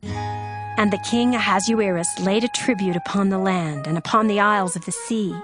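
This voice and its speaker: A woman's voice: mid-range voice, normal speed. Solemn woman narrating scripture over music. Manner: formal, solemn storytelling. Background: background music.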